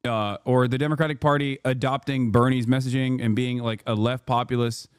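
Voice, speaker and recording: A man's voice: medium pitch, steady tone, fairly quick, clean tone, dry recording, studio quality. A man in his thirties streaming live, reacting to news clips with sarcastic, profane commentary. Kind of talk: casual livestream commentary.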